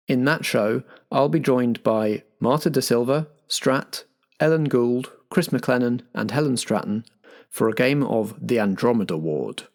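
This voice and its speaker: Casting: male podcast compere, mid-pitched with a gravelly edge, chatty theatre-interval announcements addressed to the audience. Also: a little mellow. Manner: chatty, direct address to listeners.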